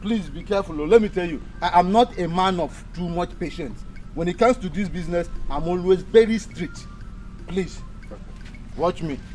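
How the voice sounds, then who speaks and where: A man's voice: high voice, moderate pace, a little mellow, soft background music, lightly acted, low-quality recording. A man with a Nigerian accent acting an impatient, strict dance teacher who scolds with exasperated questions in a comedy drama. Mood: stern. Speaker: actor.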